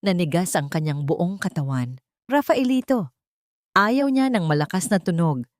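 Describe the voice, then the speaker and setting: Low-pitched Filipino woman narrating drama, dry recording. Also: sing-song, moderate pace, dry recording.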